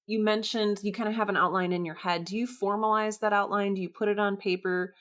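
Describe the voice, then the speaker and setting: A woman's voice: medium pitch, fairly even intonation, a little fast, fairly bright tone. A woman hosting a podcast, asking questions in a casual, conversational interview style.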